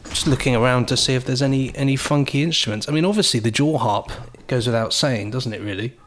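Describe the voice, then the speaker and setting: Male podcast co-host: casual banter, mid-pitched, enthusiastic, wandering and jokey. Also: fast talker, slight background noise.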